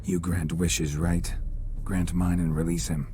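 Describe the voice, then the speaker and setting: An actor playing a gruff, terse middle-aged monster hunter who talks in curt, blunt phrases in a video game cutscene. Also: male voice, low voice, level tone, unhurried. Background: orchestral game music.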